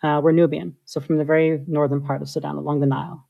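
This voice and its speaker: A woman, a software engineer, talking through her ideas in a casual interview with lots of filler likes. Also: low voice, little pitch movement, fast, vocal fry, husky, narrow, tinny audio. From United States. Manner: thoughtful, many filler likes.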